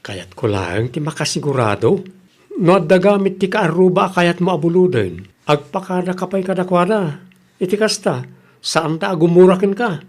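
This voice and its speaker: A man's voice: high-pitched, animated, normal speed. A man with an Ilocano Filipino accent playing a nagging, cautious rural husband who questions and admonishes in a radio comedy drama. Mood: scolding.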